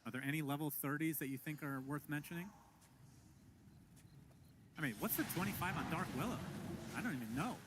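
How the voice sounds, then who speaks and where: A man doing play-by-play commentary on an esports match, quick to burst into exclamations. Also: on the high side, noisy background. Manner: excitable, breaks into exclamations. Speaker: esports caster.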